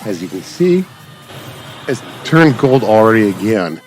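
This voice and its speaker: A man with a deep voice hosts a gaming channel. He rambles casually through his gameplay commentary and speaks straight to viewers. Music plays faintly behind him. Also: big pitch swings, a little slow. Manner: rambling, addresses viewers directly. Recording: background game music.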